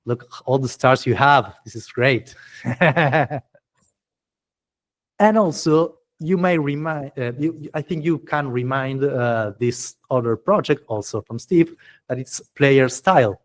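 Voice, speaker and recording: A man's voice: mid-range voice, slow. Enthusiastic man hosting, English speaker. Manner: enthusiastic, chatty, non-native English phrasing. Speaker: meetup host and presenter. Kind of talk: casual, informal event presentation.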